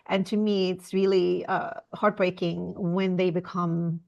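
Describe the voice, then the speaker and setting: A woman from Indonesia, speaking earnestly as a podcast guest, often pausing and restarting her sentences. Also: fairly low pitch, level tone, creaky, darkish tone, highs cut off. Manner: earnest, halting, with frequent fillers and restarts. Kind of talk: conversational interview.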